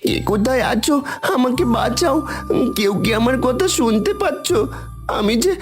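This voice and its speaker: Woman doing a scared little cartoon kid, highish voice, swoopy pleading cries. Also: animated, unhurried, over music. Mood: frightened. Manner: pleading, calling out. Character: frightened small boy trapped inside a pot. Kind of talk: Bengali cartoon voice acting.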